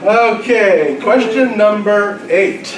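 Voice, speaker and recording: High-voiced man quizzing a noisy, echoey room. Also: slow. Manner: jocular, teasing. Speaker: quiz master. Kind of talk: reading out club quiz questions with joking banter. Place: club luncheon in a large room. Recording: distant mic in echoey, noisy crowded room. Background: lively crowd chatter and laughter.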